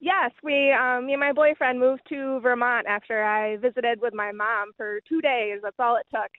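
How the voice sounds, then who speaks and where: A woman's voice: a little high, steady tone, normal speed, no echo. Female caller, phone line, cheerful chat.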